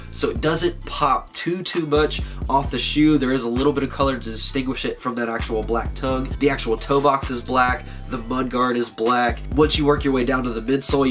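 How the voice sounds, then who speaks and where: A man in his thirties with a cold, sounding nasal and raspy, giving an upbeat, slangy sneaker review while seated indoors close to the camera. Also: medium pitch. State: congested with a cold. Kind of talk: casual product review. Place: indoor room lined with shoe boxes.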